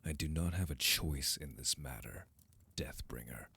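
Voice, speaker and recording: A man's voice: rumbling low, normal speed, pushed and bright, breathy edge, exaggerated voice. A man playing a haughty, duty-bound, coldly disdainful figure in a fantasy audio roleplay, speaking in a formal, condescending way.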